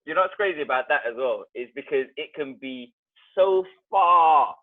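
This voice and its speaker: A man's voice: a little high, animated, moderate pace, dry recording. A man hosting his own birthday video call, upbeat and hyping up each guest as he brings them in. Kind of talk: casual chat. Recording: tinny phone-like video call audio.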